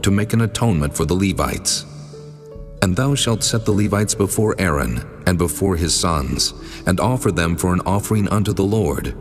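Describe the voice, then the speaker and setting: Casting: male actor for the Almighty, low solemn voice, commanding and measured, long reverb, scripture audio drama over music. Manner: stately, measured, authoritative pronouncements.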